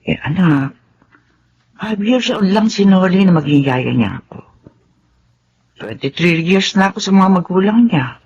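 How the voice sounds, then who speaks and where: Resigned, motherly middle-aged housemaid, lively inflection, dull lo-fi radio sound. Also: lowish voice, measured pace, pauses often, husky, full character voice. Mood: worried. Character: weary loyal middle-aged widowed housemaid. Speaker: radio drama actor.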